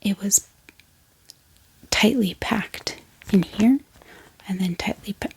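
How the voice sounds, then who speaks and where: Whispering woman, creaky, soft ASMR delivery. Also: mid-range voice, slow, rough recording. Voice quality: breathy, mostly unvoiced whisper. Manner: gentle, chatty, informal asides to viewers. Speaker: ASMR video host.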